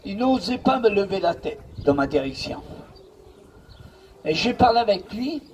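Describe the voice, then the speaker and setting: A man's voice: high-pitched, big pitch swings, moderate pace, darkish tone, a little hiss or hum. A man from the Paris region preaching a sermon as pastor, emphatic and repetitive, telling a story to a responding congregation. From Paris region, France.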